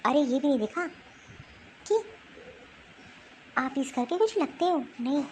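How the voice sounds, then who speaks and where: A woman voicing an angry, sharp-tongued woman scolding relatives in a family drama cartoon. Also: high voice, animated, measured pace, a little hiss or hum. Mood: hurt, bitter. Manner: scolding, indignant. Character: angry, sharp-tongued Indian women quarrelling in a family feud.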